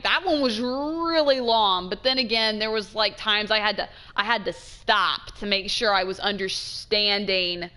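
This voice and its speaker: A woman giving casual, chatty gameplay commentary as a streamer, expressive and trailing off into asides. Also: on the high side. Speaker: game streamer.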